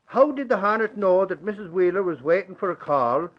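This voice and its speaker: A good-natured, blustery reporter sidekick in a vintage radio serial. The voice sits high for a man and is folksy and exclamatory, with a slightly dulled recording. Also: expressive, fairly quick, highs cut off. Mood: chatty, puzzled. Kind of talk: old-time radio drama acting.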